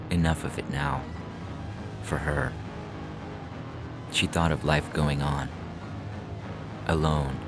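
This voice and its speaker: A man with an extremely low, bass voice delivers scripted narration for a science fiction podcast. He reads as a storyteller, with music clearly present underneath his voice. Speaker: podcast host and story reader. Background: background music.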